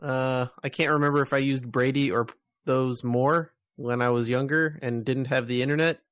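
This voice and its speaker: A man co-hosting a podcast, chatting casually and in a low-key way about video games. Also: steady tone, moderate pace, a bit bright, clean tone, no room sound, studio quality. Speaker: podcast co-host.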